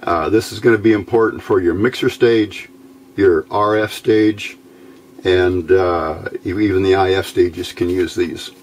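An American man giving a casual, unscripted hands-on demonstration, thinking aloud in a halting way as he goes. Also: deep voice, steady tone. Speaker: hobbyist radio builder presenting a how-to video. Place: small indoor workbench. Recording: noticeable background noise.

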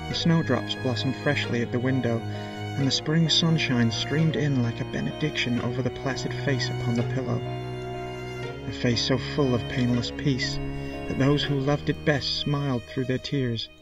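A man's voice: medium pitch, steady tone, normal speed, close to an everyday voice. A man giving scripted literary narration in an audio drama. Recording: slightly dull sound under music. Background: music.

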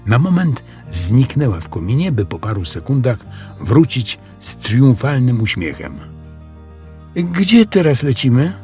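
A man narrating a scripted children's audiobook as an expressive storyteller. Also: medium pitch, a little slow, soft background music.

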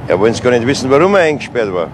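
A man acting a composed police inspector. He questions matter-of-factly in a 1970s radio crime play with clear background noise. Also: medium pitch, sing-song, rapid-fire, close to an everyday voice. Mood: calm, firm. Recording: noisy old radio play recording.

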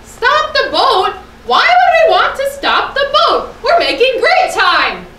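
A woman voicing a worried, grumbling young puppet sailor in a children's show, with slow, exaggerated delivery. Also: very high voice, sing-song, sharp tone, full character voice. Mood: doubtful. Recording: roomy echo, slightly distant mic, faint noise.

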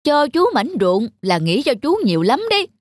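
A woman's voice: fairly high pitch, big pitch swings, normal speed, no room sound, clean studio sound. A woman voicing a selfish, bossy older brother in a folk-tale cartoon, pushy and self-justifying. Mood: smug, insistent. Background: background music.

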